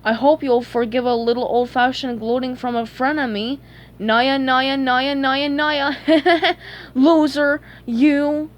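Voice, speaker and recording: A woman's voice: on the high side, fairly quick, few pauses, lightly acted. A woman voicing a gloating, theatrical little male cartoon villain with a mocking, sing-song delivery. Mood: mocking glee.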